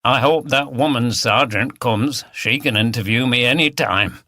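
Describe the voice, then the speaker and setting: A man's voice: medium pitch, measured pace, pushed and bright, dry recording. A man voicing a cocksure, blunt male pub regular in an audiobook. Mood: cocky. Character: cocky, sniggering Yorkshire pub drinker.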